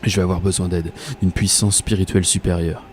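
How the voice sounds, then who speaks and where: A deep-voiced actor as a dreamy commuter tells his own radio story. His mellow voice is wry and confiding, full of colloquial turns. A faint layer of ambient noise sits behind him. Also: flat delivery, fairly quick, natural voice. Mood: intent, confiding. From Paris, France. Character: dreamy, obsessive commuter, wry and self-mocking. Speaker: narrator and protagonist. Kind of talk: first-person radio fiction monologue.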